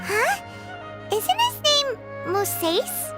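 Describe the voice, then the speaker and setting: Very squeaky, curious little fairy sidekick. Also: female voice, huge pitch swings, extremely slow, exaggerated voice. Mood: puzzled. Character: tiny childlike fairy companion, curious and squeaky. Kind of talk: scripted video game dialogue. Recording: loud music behind.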